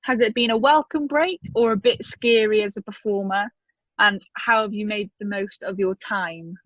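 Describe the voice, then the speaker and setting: A woman's voice: medium pitch, moderate pace, fairly bright tone, dry recording, lo-fi sound. A woman co-hosting a webinar, reading out questions for a guest. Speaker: webinar co-host asking questions.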